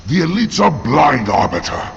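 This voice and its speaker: An actor playing a huge, scornful, menacing alien brute chieftain with a gravelly voice in a video game. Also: male voice, medium pitch, sing-song, moderate pace, lo-fi sound, slight background noise. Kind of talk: video game cinematic acting.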